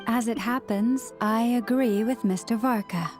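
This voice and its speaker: A woman playing a composed young priestess in a video game, speaking in a calm, formal, measured way. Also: fairly high pitch, loud music behind the voice, close to an everyday voice. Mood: courteous.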